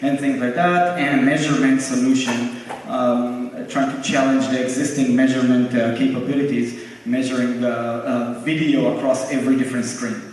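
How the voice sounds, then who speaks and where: A man with an Israeli accent speaking on a conference panel, halting often and joking about himself. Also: medium pitch, fairly even intonation, darkish tone. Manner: halting with many "uh"s, self-deprecating. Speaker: panelist, tech co-founder. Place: large conference hall. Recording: echoey hall from far off, faint noise.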